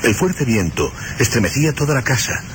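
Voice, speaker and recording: A man narrating a scripted suspense radio play with dramatic, deliberate phrasing. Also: medium pitch, very animated, background noise.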